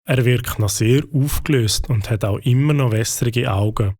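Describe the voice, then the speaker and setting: Brief: Swiss German male storyteller, calm and even, mid pitch. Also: moderate pace. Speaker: narrator. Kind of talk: storybook narration.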